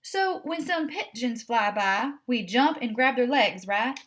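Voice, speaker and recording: A woman's voice: a little high, animated, measured pace, fairly bright tone, a little hiss or hum. A woman voicing an eager, self-assured, naive female cat in a children's storybook, with a questioning manner. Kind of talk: children's storybook read-aloud.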